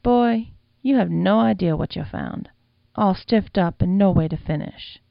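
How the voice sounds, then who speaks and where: A woman's voice: medium pitch, unhurried, creaky, no room sound, lo-fi sound. A woman voicing a brassy, teasing older woman who talks in a folksy, mocking drawl.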